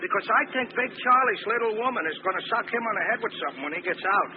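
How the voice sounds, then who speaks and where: Excitable high-pitched slangy cabbie. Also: male voice, fast talker. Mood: fretful. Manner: slangy, repeats himself, double negatives. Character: excitable, talkative working-class cab driver, comic sidekick. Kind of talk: 1940s radio acting. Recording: tinny, noisy old radio broadcast.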